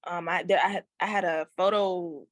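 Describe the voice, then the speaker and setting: A woman's voice: on the low side, normal speed, no room sound, clean studio sound. A young woman singer, born in Haiti, talking casually as an interview guest, with slang and many fillers. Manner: relaxed, slangy, with frequent fillers.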